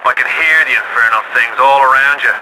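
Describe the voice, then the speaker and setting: Casting: working-class Irish rebel guide for a game, folksy and urgent, heard over a radio with clear noise. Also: male voice, mid-range voice, brisk. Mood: guiding, steady. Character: gritty working-class rebel man guiding a newcomer by radio. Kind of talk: video game voice acting. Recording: noisy lo-fi radio game clips.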